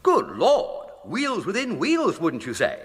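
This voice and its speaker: An actor playing a flippant, idle young English gentleman with a breezy, plummy, upper-class accent and exclamatory period slang, in a British radio comedy. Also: high-pitched, wildly swooping pitch, takes it slowly, heavily acted. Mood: baffled, indignant. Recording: long echoing room reverb.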